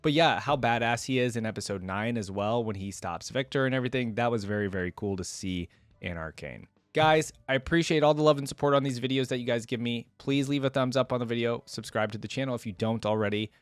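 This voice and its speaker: A man's voice: medium pitch, fast, no room sound. A man giving casual, rambling, enthusiastic commentary to his viewers as a reaction video creator. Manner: informal, rambling, enthusiastic about the game.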